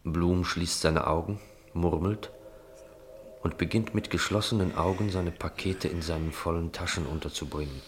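Man, low voice, narrator, scripted literary radio-play narration. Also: steady tone, moderate pace, noisy background.

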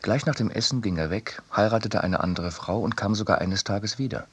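A man's voice: fairly low pitch, fairly quick, no room sound. A man reading as a sober, laconic chronicler in literary radio narration. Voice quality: husky.

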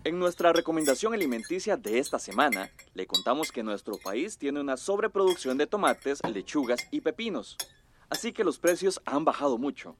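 Animated male announcer, noisy background. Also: on the high side, moderate pace. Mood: upbeat, informative. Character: upbeat male radio announcer giving food advice. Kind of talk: scripted broadcast announcing.

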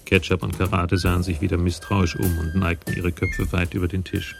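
A man narrating a radio crime play from a script in a measured, literary, matter-of-fact way. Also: low voice, level tone, creaky. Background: music.

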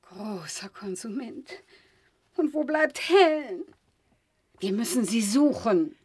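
A woman's voice: fairly high pitch, animated, slow, a little mellow, husky, full character voice. An actress playing a naive, dreamy, stranded wife and mother in a science-fiction radio drama, airy and earnest. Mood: pleading.

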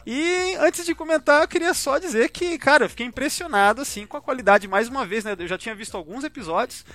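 A man's voice: very high voice, quick pace, a bit bright, no echo. A Brazilian man hosting a casual podcast, talking in an informal, slangy, rambling way as he comments on episodes. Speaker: podcast host.

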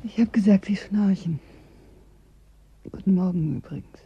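An actress playing an earnest, gently ironic climatology student in a radio drama, speaking softly and reflectively. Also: female voice, medium pitch, normal speed, slightly rough, lo-fi sound. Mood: drowsy, teasing. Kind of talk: German radio drama acting, naturalistic dialogue.

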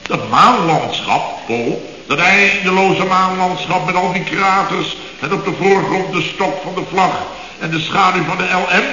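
A man's voice: sits high, measured pace, pushed and bright, a little hiss or hum. An actor playing a blustering, sarcastic middle-aged contractor boss who grumbles and muddles his expressions in a radio comedy. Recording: dull, echoey old radio recording.

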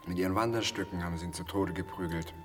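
Schauspieler als tiefer, makabrer Erzähler in halligem Raum. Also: male voice, low-pitched, steady tone, fast talker, natural voice. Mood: grimly confiding. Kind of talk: German radio play acting. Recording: echoey, distant, music behind.

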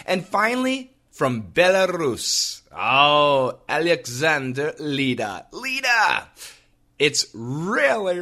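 A man, hosting an English-learning podcast and reading out listener comments in an upbeat, animated way. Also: medium pitch. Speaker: podcast host and English teacher.

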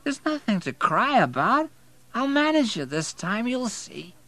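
Audiobook drunk: male, slurring and drawling, emotional, pitched well up. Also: sing-song, measured pace, sharp tone, no room sound, full character voice. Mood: drowsy, tender.

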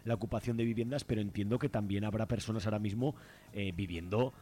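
A man with a Peninsular Spanish accent hosts a radio interview. His voice is lowish and his delivery brisk and conversational, peppered with short checking questions. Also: fairly even intonation, mellow. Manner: uses frequent checking tags. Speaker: radio host.

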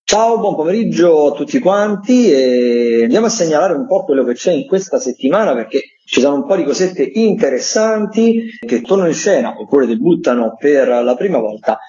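A man's voice: a little high, big pitch swings, normal speed, hardly any pauses, warm tone, lo-fi sound. A man giving an informal, somewhat hesitant theatre round-up as a radio critic.

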